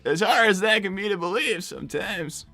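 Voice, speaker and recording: Flustered, bright-voiced young boy fox, acted out. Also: medium pitch, normal speed, soft background music. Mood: flustered, indignant. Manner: stammering, flustered. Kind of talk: improvised character roleplay on a livestream.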